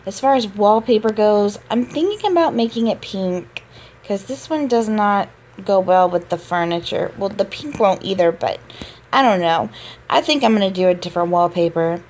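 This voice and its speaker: A woman's voice: mid-range voice, lively intonation, normal speed, darkish tone, slight background noise. A woman who just woke up, giving a casual voice-over tour of her game apartment, chatty and drawn out with small laughs.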